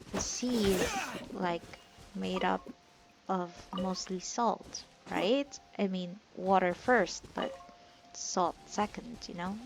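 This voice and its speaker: A woman hosts a casual game playthrough, thinking aloud as she goes. Her mid-pitched voice moves slowly and rambles, with faint noise behind it. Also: vocal fry. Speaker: gaming video host.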